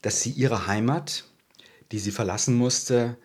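A man answering interview questions in a thoughtful way, often pausing and searching for words. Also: mid-range voice, a little mellow, husky. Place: Berlin.

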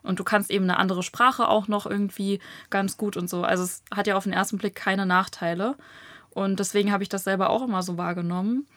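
A young woman from Germany, a novelist guest, talking thoughtfully in an interview with searching pauses and fillers. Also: mid-range voice, fairly even intonation, a bit bright, no room sound. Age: young adult. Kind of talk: reflective interview talk.

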